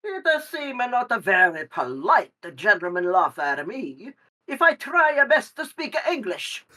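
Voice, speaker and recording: An actress playing a sly middle-aged madam who wheedles in halting broken English with an Italian accent, in a stage play. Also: female voice, on the high side, sing-song, pushed and bright, full character voice. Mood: offended.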